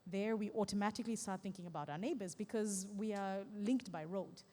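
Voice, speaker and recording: A woman from Southern Africa speaking as a conference panelist, explaining ideas in a measured, thinking-aloud way. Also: medium pitch, warm tone, in a large hall. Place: conference stage.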